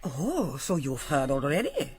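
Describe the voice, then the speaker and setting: Lively-toned Mother Superior. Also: sits low, a little slow, slightly rough, full character voice. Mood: brisk authority. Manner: composed, authoritative, wry. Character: brisk, kindly Spanish convent Mother Superior. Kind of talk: radio drama acting.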